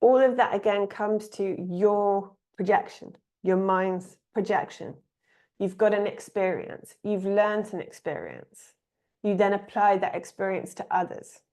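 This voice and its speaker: English woman, spiritual teacher, calm musing talk, medium pitch. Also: a little slow. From England.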